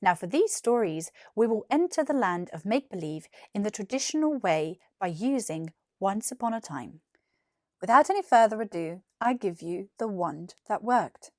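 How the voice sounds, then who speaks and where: Animated young British woman storyteller. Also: mid-range voice, moderate pace, no echo, clean studio sound. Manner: clearly articulated, animated. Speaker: actor reading a children's story. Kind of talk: expressive children's storytelling, scripted narration. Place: small furnished room indoors. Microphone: lapel mic.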